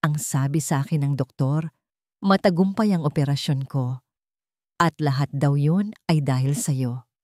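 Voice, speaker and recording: A Filipino woman voicing a grateful, gentle elderly mother in an audiobook drama. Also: sits low, unhurried, dry recording, clean studio sound.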